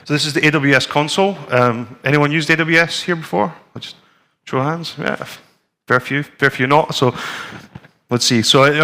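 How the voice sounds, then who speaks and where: A man's voice: medium pitch, bright, forceful tone. A man in his forties from Scotland with a Scottish accent, giving a conversational technical talk and live demo to a conference audience, with frequent ums and restarts. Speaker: conference presenter. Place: conference room with a screen and audience.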